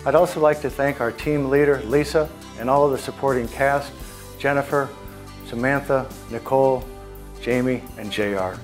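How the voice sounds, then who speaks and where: A man's voice: medium pitch, steady tone, normal speed. A man delivering scripted narration as the narrator of a short presentation. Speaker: narrator reading outcome and credits. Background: soft plucked guitar music.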